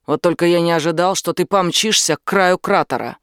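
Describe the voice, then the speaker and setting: A woman's voice: lowish voice, a little fast, smooth, no echo, clean studio sound, close to an everyday voice. A woman reading an audiobook, voicing a blunt, commanding and protective man who explains things firmly. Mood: calm, explaining. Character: angry, protective British man, outdoorsy and knowledgeable. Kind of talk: audiobook character dialogue.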